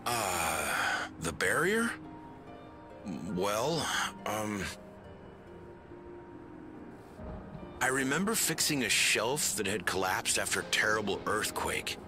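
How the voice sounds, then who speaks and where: An actor playing a humble, courteous village leader in a video game, polite and affable with a halting, musing delivery. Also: male voice, fairly low pitch, expressive. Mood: pensive, hesitant. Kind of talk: scripted video game cutscene acting. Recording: game music behind.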